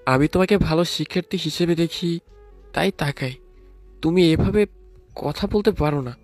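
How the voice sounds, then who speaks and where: A man's voice: fairly high pitch, moderate pace, lightly acted. A man playing a reserved, proper teacher in a scripted audio drama. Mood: earnest, defensive. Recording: music behind the voice.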